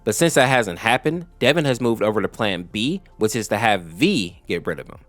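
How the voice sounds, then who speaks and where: A man's voice: on the low side, expressive, moderate pace, a bit bright, no echo, faint music behind. A man narrating a story recap in a conversational, explanatory way, speaking directly to viewers. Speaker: YouTube lore explainer host.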